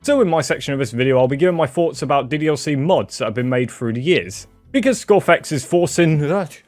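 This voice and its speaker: A man with a slightly high voice gives casual guest commentary. He is informal and jokey, swears freely and shares opinions, with music playing behind him. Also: expressive, a little fast, darkish tone. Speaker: guest video contributor.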